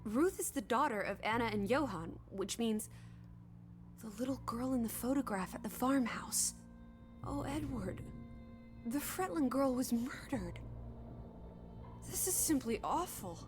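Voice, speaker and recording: Game role: headstrong young woman, high and very lively pitch, theatrical, bossy, inquisitive. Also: unhurried, pauses often, soft background music. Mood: shocked, deducing. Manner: bossy, theatrical, teasing and scolding. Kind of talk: video game drama acting.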